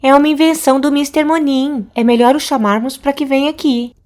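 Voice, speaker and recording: A woman's voice: high voice, fairly even intonation, fairly quick, a little mellow, natural voice. A woman with a Brazilian accent voicing a confident, inquisitive young witch detective in a children's cartoon. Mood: assured.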